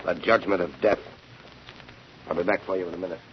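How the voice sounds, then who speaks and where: Actor as low, menacing secret-society henchman. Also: fairly even intonation, fast, lightly acted. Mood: threatening. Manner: cold, formal, ominous. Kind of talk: 1940s radio drama acting. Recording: muffled, noisy old radio recording.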